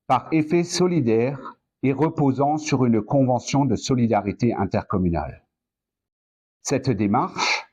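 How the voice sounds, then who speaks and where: A man's voice: medium pitch, a little mellow, breathy edge. Man giving a formal speech, muffled sound. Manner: measured, formal, deliberate phrasing in short segments. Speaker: president of an intercommunal council addressing elected officials. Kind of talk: prepared formal political speech, read out.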